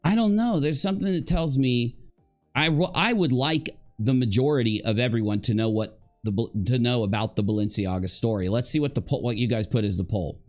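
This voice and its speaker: A man commentates casually on a livestream in a middling-pitched voice. He thinks aloud in a halting way and often turns his points into questions. Music plays softly behind him. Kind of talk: casual live reaction commentary.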